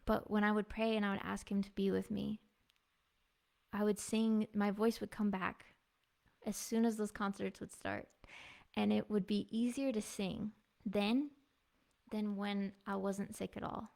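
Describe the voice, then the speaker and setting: Soft-spoken woman with a gravelly edge. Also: mid-range voice, little pitch movement, measured pace. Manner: earnest, heartfelt, soft-spoken, with small laughs. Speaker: devotional speaker and music minister. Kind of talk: personal devotional testimony. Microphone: clip-on mic.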